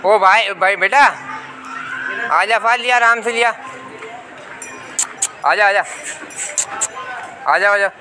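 A man's voice: sits high, slow, pauses often, over music, a crowd in the background. A young man vlogging at an outdoor cattle market, coaxing and directing helpers as a cow is unloaded. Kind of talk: casual vlog commentary. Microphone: phone camera held by the speaker. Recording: noisy outdoor phone recording.

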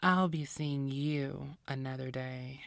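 A woman voicing an imperious vampire boss in an audio roleplay, commanding, condescending and deliberate. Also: very deep voice, vocal fry, a little hiss or hum, heavily acted. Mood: imperious, menacing.

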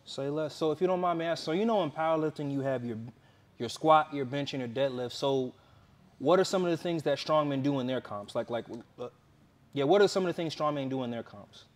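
Casual male interviewer, young adult, stop-start delivery with lots of fillers. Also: a little high. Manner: halting, many restarts and fillers.

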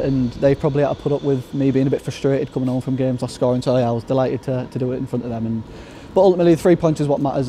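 A man's voice: mid-range voice, dark tone, a little hiss or hum. A young footballer in his twenties, giving a modest, upbeat post-match interview outdoors at the ground at night, with lots of fillers and restarts.

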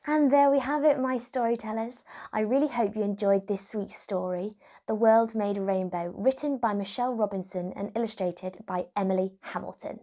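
A woman's voice: mid-range voice, steady tone, moderate pace, few pauses, mellow. A woman in her twenties hosting a children's storytime, reading and talking to young viewers in an encouraging way. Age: 20s. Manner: encouraging, addressing children directly. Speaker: children's storytime host and reader.

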